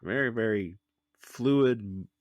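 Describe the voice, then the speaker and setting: A very low-voiced man hosting a casual podcast. He is stuffy from a sinus infection and talks with chatty enthusiasm. His voice sounds dry and close to the mic. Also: slow, no room sound. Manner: enthusiastic, chatty, laughs often. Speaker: podcast host.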